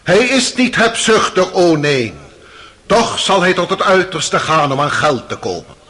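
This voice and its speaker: An actor playing a stern, solemn public prosecutor giving grave, rhetorical courtroom oratory in a radio drama. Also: male voice, high voice, very animated, a little slow, pushed and bright, breathy edge, exaggerated voice. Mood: solemn, accusing.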